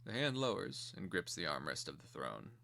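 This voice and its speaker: Male narrator, low register, measured and theatrical, reading dark fantasy stage directions. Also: big pitch swings, gravelly. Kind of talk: dramatic screenplay reading.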